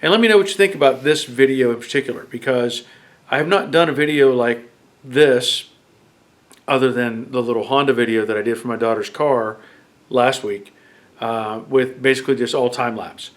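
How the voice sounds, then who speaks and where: A man's voice: medium pitch, moderate pace. A man in his fifties with a head cold, casually walking through his car build in his garage. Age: 50s. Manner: plain-spoken, relaxed, with fillers. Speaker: garage channel host. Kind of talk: casual workshop walkthrough. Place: home garage.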